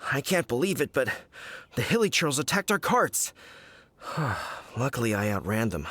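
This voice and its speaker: An actor playing a flustered, woeful village merchant in a video game, with a dramatic, complaining delivery. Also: male voice, mid-range voice, sing-song, normal speed, fairly bright tone, slightly rough. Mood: distressed.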